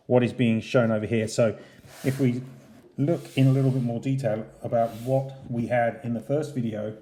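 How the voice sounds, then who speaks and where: A man's voice: mid-range voice, steady tone, moderate pace, mellow. A man hosting a casual unboxing review, talking in a conversational, explanatory way. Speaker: channel host, model airport reviewer. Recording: roomy, echoey indoor sound.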